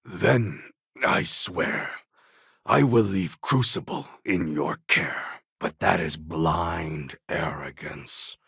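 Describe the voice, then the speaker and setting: A man's voice: sits low, takes it slowly, fairly bright tone, no echo, studio quality, heavily acted. An actor playing a proud, menacing male warlord in a video game, speaking in a grave, formal and threatening way. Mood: stern. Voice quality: gravelly, husky.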